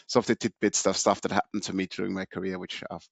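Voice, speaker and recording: A middle-aged man from Austria, a podcast guest telling his career story in a relaxed way, with many fillers and restarts. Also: low voice, quick pace, gravelly. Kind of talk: casual interview storytelling.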